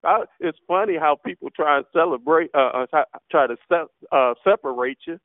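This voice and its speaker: A man leads a fervent spoken prayer, his voice sitting somewhat high for a man. He speaks in impassioned, repetitive bursts full of hallelujahs. The sound is thin and tinny, as over a telephone, with a dry, close sound. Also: moderate pace, no room sound. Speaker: prayer line leader. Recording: tinny phone line, dry.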